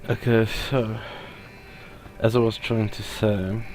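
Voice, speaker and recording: A man commentates casually on a video game. His voice is on the low side and very slow, hesitating and trailing off. Game music is clearly heard behind him. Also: flat delivery, darkish tone, rough recording. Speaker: Let's Play gamer.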